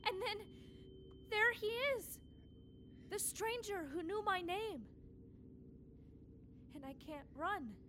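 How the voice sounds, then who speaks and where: Woman playing a very high-voiced excitable young girl. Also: slow pace, long pauses, slight background noise, exaggerated voice. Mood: haunted. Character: young girl Anna, excitable, then traumatized. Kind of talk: audio play acting with narration. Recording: music behind the voice.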